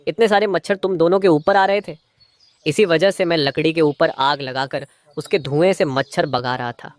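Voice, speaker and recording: A man's voice: fairly high pitch, moderate pace, close to an everyday voice. A man voicing a stingy, two-faced crow husband in a moral-story cartoon, a schemer who feigns sweetness to his wife. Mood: defensive, indignant.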